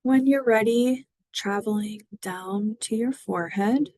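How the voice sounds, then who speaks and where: A woman leads a body scan meditation at an unhurried, slow pace, her voice gentle and soothing. It sits at a middle pitch and sounds dry and close to the mic. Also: no room sound. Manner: slow, gentle, soothing guidance with long pauses. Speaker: mindfulness guide.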